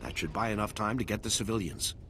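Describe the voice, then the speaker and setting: An actor playing a weary, grim rescue commander in a video game, urgent and plain-spoken. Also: male voice, fairly low pitch, fast talker, music in the background, lightly acted. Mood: relieved, businesslike. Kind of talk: video game character acting. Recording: clearly noisy ambient game background.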